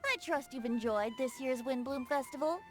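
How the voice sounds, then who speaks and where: A woman's voice: high voice, normal speed, over music, lightly acted. A woman voicing a gracious, youthful poet-bard in a video game, whimsical, courteous and lyrical in delivery. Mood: genial. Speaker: game character voice actor. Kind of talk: voiced game dialogue, poetry recital.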